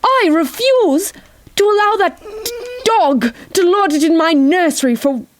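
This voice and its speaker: A woman plays a vain Edwardian father in an audio drama, blustering and melodramatic in a high voice. The delivery is slow and stretched out for effect. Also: expressive. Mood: indignant. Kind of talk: audio drama acting with some narration.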